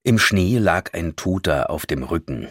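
A man's voice: deep voice, animated, measured pace, no echo, studio quality. An actor reading a crime novel as its uneasy male first-person narrator, in a scripted storytelling style with acted dialogue. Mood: narrating, tense. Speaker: audiobook narrator.

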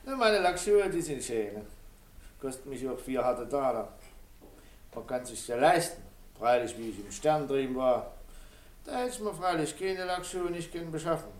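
An actor playing a cocky, vain, chatty waiter in a drama, with boastful, familiar dialect chatter. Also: male voice, medium pitch, expressive, moderate pace, close to an everyday voice. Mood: smug, boastful. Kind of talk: stage-style radio drama dialogue. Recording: roomy, distant from the mic.

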